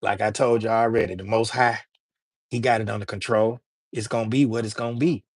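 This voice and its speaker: A man's voice: on the low side, fairly even intonation, a little fast, no echo, clean studio sound. A man from Alabama, hosting a livestream and chatting casually with his viewers in slangy talk. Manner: loose, slangy, talks straight to chat. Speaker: livestream host.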